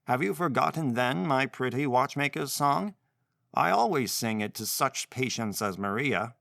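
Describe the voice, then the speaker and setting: A man playing a strange old godfather who chants slowly in a grating, monotonous tone. His voice stays close to the reader's own, mid-pitched and dry. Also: vocal fry, no room sound. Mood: mysterious.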